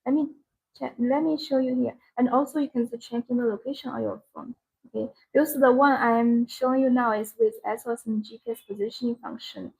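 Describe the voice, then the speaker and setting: A woman with a high-pitched, creaky voice gives a live sales demonstration. She speaks English as a second language, in simple phrases that she often repeats. Her tone has a husky edge. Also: steady tone, fairly quick, darkish tone, rough recording. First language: not English. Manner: repetitive, simple non-native phrasing. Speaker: live-stream sales presenter.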